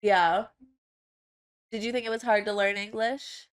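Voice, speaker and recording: A woman's voice: medium pitch, steady tone. Friendly young woman interviewer. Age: young adult. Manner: friendly, affirming, quick to agree. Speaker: guest podcast host. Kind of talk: casual interview chat. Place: small indoor studio, on a couch. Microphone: lavalier mic.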